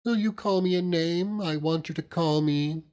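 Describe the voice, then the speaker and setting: Man putting on a high, childlike young wife. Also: normal speed, darkish tone, smooth, close to an everyday voice. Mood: pleading, tender. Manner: plaintive, pleading, self-deprecating.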